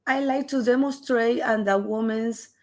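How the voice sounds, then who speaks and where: A woman in her twenties from Peru with a Peruvian Spanish accent, Spanish-native, answering interview questions haltingly and with frequent laughs. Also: a little high, steady tone. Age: 20s. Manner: halting, searching for words, laughs often. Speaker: wrestler guest.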